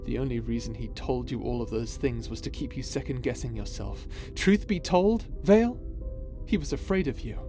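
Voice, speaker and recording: A man's voice: mid-range voice, expressive, moderate pace, mellow, natural voice. A man playing an impassioned space pirate captain in an audio roleplay, with a dramatic, emotive delivery full of sighs. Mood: earnest, emphatic. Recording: voice over background music.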